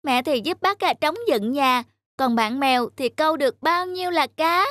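A woman voicing a childlike, expressive young rabbit, sleepy, lazy and earnest, for a children's cartoon. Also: high voice, moderate pace, no room sound, studio quality. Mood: amazed, earnest. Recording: background music under the voice.

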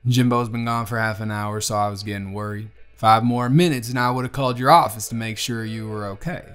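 A man's voice: fairly low pitch, steady tone, fairly quick, a bit bright, clear, resonant tone, lightly acted. Man playing a flirty Southern bartender, music behind. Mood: warm, casual. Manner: folksy, playful, affectionate drawl. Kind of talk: romantic audio roleplay, speaking to the listener.

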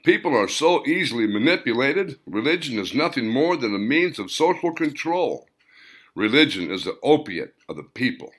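A man's voice: medium pitch, very animated, normal speed, a bit bright, breathy edge, voice pushed up. A man voicing a cynical old grandfather in a story, emphatic and full of contempt. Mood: scornful.

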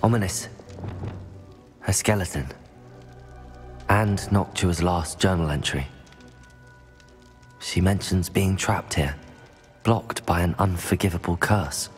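A man playing a calm, persuasive young wizard student in a video game, composed and polite. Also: deep voice, takes it slowly, long pauses. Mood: pensive. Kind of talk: dramatic game dialogue. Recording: music under the voice.

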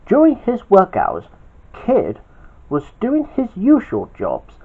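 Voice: mid-range voice, huge pitch swings, slow pace. An amateur storyteller narrating aloud with an emphatic, stop-start delivery. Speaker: storyteller, channel host. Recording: dull, lo-fi home recording.

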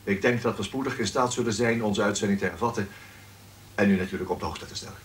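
A man's voice: on the low side, quick pace, close to an everyday voice. Actor as grave, slightly distant male announcer. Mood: shaken, apologetic. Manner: formal, grave. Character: earnest, shaken male radio announcer addressing listeners. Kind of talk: radio drama acting, announcement. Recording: slightly distant, faintly noisy radio recording.

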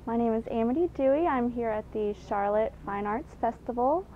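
A woman's voice: a little high, lively intonation, normal speed, slight background noise. A woman in her thirties, a painter, introducing herself and her work to camera in a friendly way from her exhibit booth. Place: indoor art exhibit booth. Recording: thin phone-like audio.

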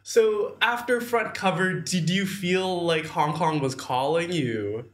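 A man's voice: high-pitched, slow. A young man hosting a film podcast, enthusiastic and encouraging as he interviews his guest. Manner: enthusiastic, encouraging, lots of backchannel. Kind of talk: casual interview talk.

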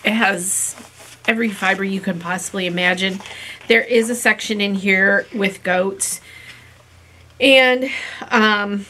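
Raspy woman with a bright voice. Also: mid-range voice, steady tone, takes it slowly, pauses often, a little hiss or hum. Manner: chatty, thinks aloud with many ums. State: raspy from a coming cold. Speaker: fiber-craft vlogger. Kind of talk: casual chat to camera. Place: small room in a home, indoors. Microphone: camera in front at desk distance.

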